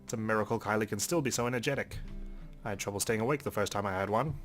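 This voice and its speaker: Male let's play host, lowish voice, reading story text aloud with casual asides, soft music underneath. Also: racing. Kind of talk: reading a visual novel aloud with casual commentary. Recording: faint game music behind. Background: game music.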